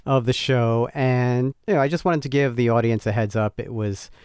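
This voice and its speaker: Smooth-voiced enthusiastic man co-host. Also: medium pitch, normal speed, darkish tone, dry recording, studio quality. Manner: enthusiastic, repeating words for emphasis. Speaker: podcast co-host. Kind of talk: casual show intro chat.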